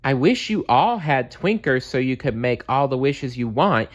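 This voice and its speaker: A man's voice: medium pitch, sing-song, moderate pace, pitched above the performer's own voice. A man voicing an eager, friendly young female cartoon bear in an earnest, sing-song storybook manner. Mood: eager, hopeful.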